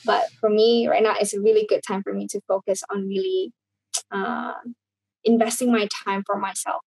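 A woman's voice: medium pitch, normal speed, gravelly, a little mellow, husky, no room sound, low-quality recording. A woman from Indonesia, a guest talking casually over a video call, rambling with many fillers and restarts.

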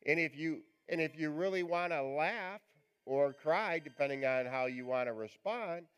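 A male pastor with a raspy voice, preaching a live church sermon in a chatty, joking, rambling way. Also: a little high, moderate pace, a bit bright. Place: church sanctuary.

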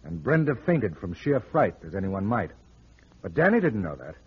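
An actor playing a shrewd, sardonic private detective in an old-time radio drama. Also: male voice, lowish voice, big pitch swings, moderate pace, breathy edge, full character voice. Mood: explaining, wry. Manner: sardonic, explaining, teasing. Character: wisecracking, shrewd male private detective, smooth with women. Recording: muffled vintage radio drama recording.

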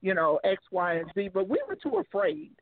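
A woman's voice: mid-range voice, sing-song, moderate pace, creaky, no room sound. A woman in her thirties, a single mother, talking bluntly and laughing often in a casual call-in chat. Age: 30s. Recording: dry tinny phone line.

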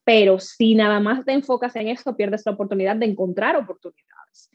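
A Dominican woman with a warm, mellow voice talks through her experiences in a relaxed interview, lively and acting out the lines she quotes. The recording is dry and a bit dull, with no room sound. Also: mid-range voice, brisk, no echo. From Dominican Republic. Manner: animated storytelling, acts out quoted lines. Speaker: guest, speaker and workshop leader. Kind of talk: conversational interview.

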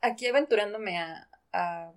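A woman's voice: mid-range voice, normal speed. A woman co-hosting a casual discussion about literature, explaining things and picking her words carefully. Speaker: podcast co-host.

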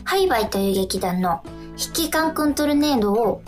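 A woman's voice: a little high, animated, fairly quick, soft background music. A woman co-hosting a radio show, chatting casually and giving announcements in a polite manner.

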